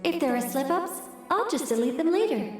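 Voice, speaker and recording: A woman voicing a calm, knowing mage in a video game, politely instructive, heard through a magical echo effect. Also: high voice, brisk. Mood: reassuring. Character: calm, knowing female mage directing from afar. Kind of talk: video game character acting. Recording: heavy echo effect, music behind.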